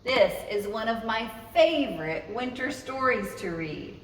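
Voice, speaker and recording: A woman's voice in a roomy, echoing space, picked up from a distance with some noise. She reads aloud for children as a storytime host, with very lively swings in pitch. She addresses her young listeners directly and expressively. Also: a little slow.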